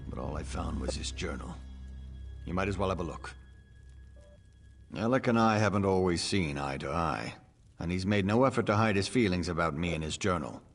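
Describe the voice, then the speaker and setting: A middle-aged father in a fantasy game with a deep voice. He is formal, dry and haughty, with grim resolve. Music plays faintly behind him. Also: creaky. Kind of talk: scripted video game dialogue.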